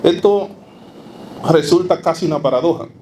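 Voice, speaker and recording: A man giving a university lecture, speaking in a measured way with frequent fillers and rhetorical asides. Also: on the high side, dark tone, a little hiss or hum. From Dominican Republic. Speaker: academic speaker.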